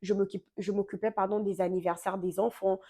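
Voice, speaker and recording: Young woman vlogging, french not her first language, warm mellow tone, lots of euh and little restarts. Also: medium pitch, flat delivery, a little fast. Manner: chatty, full of fillers and self-corrections. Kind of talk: casual storytelling to camera.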